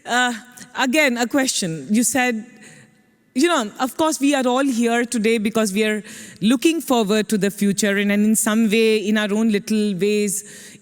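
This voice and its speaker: A woman's voice: a little high, moderate pace, big-room sound. A woman moderating a conference session, framing long questions with hesitations and restarts. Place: conference stage.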